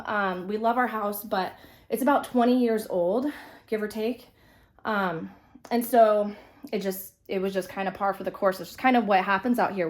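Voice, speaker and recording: A young woman from California chatting casually, with many fillers and restarts, while dressing a doll, her voice a little stuffy from being sick. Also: medium pitch. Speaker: vlogger, reborn doll collector.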